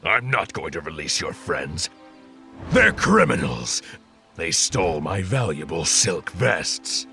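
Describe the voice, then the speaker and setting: A man voicing a menacing, boastful male buffalo demon in an audio storybook, with a gravelly voice and an imperious delivery. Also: low voice, sing-song, slow, pushed and bright, faint music behind, full character voice. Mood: defiant, scornful. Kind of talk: audio storybook character acting.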